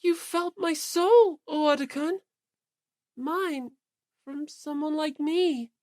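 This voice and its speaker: Woman as sweet shy boy, high lifted voice, earnest, delicate, audiobook character, dry sound. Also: slow, a little mellow, no room sound. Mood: awed, moved.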